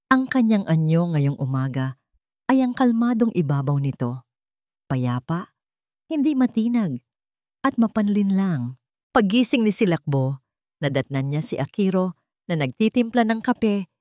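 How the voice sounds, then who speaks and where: Give the narration to a woman with a lowish voice in a dry, close recording. She reads a dramatic story melodramatically, setting out short phrases with deliberate care. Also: darkish tone, dry recording.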